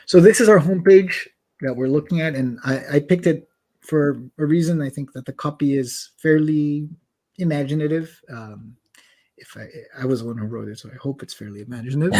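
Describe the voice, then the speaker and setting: A man hosting a live online session, chatting casually and self-deprecatingly as he demos translation software. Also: on the high side. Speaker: host demoing translation software. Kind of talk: casual live demo talk.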